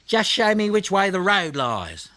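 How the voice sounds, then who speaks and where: Man playing a cheeky young koala boy, raised pitch. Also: huge pitch swings, measured pace, pushed and bright, dry recording, exaggerated voice. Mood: mocking, impatient. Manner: cocky, plucky. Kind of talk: children's audiobook character voice.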